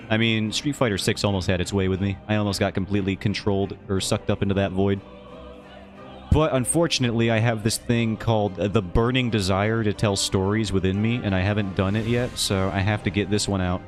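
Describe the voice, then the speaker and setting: A man's voice: fairly low pitch, steady tone, a little fast. A man streaming while he draws his webcomic, who rambles in a casual, self-mocking and jokey way. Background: faint background music.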